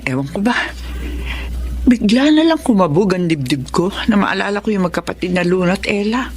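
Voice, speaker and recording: A woman's voice: medium pitch, animated, a little fast, darkish tone, natural voice. A woman with a Filipino accent playing a kindly, anxious grown older sister in a radio drama. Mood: worried, wistful.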